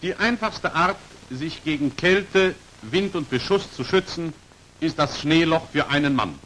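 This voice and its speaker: A male narrator with a fairly high, animated voice delivers scripted 1940s instructional film commentary. His is formal and precisely articulated, with pitch that moves widely from phrase to phrase. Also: unhurried, slight background noise, poor audio. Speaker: narrator of a military training film.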